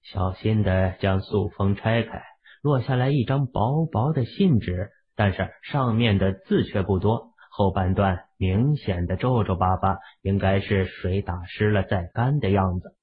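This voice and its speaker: A man's voice: fairly low pitch, unhurried, breathy edge. A man narrating scripted fiction as an audiobook reader. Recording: very narrow, phone-like sound.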